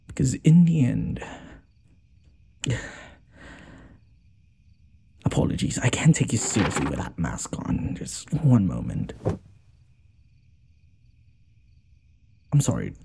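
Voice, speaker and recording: Man playing a haughty, expressive fantasy noble lord. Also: medium pitch, a little slow, creaky, pauses often, slight background noise, full character voice. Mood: indignant, dismissive. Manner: theatrical, sarcastic, exasperated sighing delivery. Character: haughty, wounded young noble lord, sarcastic yet caring. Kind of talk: scripted audio roleplay addressed to the listener.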